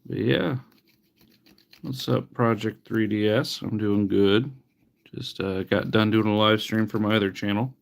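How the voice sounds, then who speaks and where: Low gravelly man chatting while tinkering. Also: unhurried. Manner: chatty, wry, mock-disgusted asides. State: sniffly from allergies. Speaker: livestreamer repairing retro handhelds. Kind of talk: casual live-stream chat while working.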